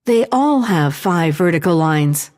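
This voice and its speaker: A woman's voice: lowish voice, lively intonation, a little slow, darkish tone. A woman voicing a polite, literal shipboard AI assistant in a sci-fi audio drama, formal and helpful. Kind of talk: full-cast sci-fi audio drama acting.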